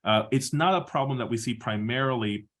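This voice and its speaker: A middle-aged man from Peru giving a measured webinar lecture as a surgeon, explaining slides with frequent restarts and fillers. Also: medium pitch, a bit bright.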